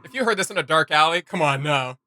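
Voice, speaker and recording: Male reaction host: higher-pitched, bright, enthusiastic and casual, slangy delivery. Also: lively intonation, quick pace, a little hiss or hum.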